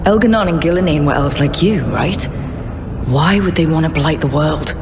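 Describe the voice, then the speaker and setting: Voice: medium pitch, normal speed, background noise, natural voice. A performer playing a young elven scout who talks plainly and directly and asks blunt questions, in a video game cutscene.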